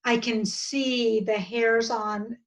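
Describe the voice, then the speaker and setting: A woman of about fifty with an American accent, talking earnestly and haltingly as a guest in an interview, often restarting her sentences. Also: fairly high pitch, level tone. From southern United States. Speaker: podcast guest. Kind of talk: reflective interview conversation.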